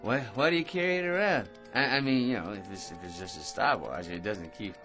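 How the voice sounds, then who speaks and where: An actor playing a self-important, talkative loser at a bar, pompous and stammering, in a radio drama. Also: male voice, fairly low pitch, a little fast, sharp tone, faint music behind. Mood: curious, eager. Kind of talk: old-time radio drama acting.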